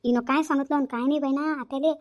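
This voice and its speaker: A woman acts out a long-suffering elder daughter-in-law in a cartoon family story. She speaks in a high, plaintive Varhadi-accented voice, very quickly and repetitively, always shying from conflict. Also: steady tone, darkish tone, lightly acted. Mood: aggrieved. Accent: rural Maharashtra, Varhadi. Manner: timid, plaintive, conflict-avoiding. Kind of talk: cartoon family drama acting.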